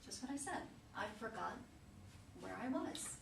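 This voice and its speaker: A woman's voice: fairly high pitch, very animated, a little slow, vocal fry, far from the mic, exaggerated voice. A woman reading the part of an elegant, troubled mother in her sixties in a play, hesitant and uneasy. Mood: anxious, confiding. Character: elegant, troubled sixty-ish mother, a lawyer frightened by memory lapses. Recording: echoey room from afar, noisy.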